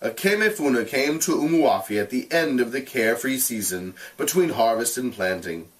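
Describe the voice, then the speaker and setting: Male teacher, expressive read-aloud narration, very lively intonation, deliberate explanatory delivery, mic set back from the voice. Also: medium pitch, far from the mic. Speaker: teacher reading a novel aloud.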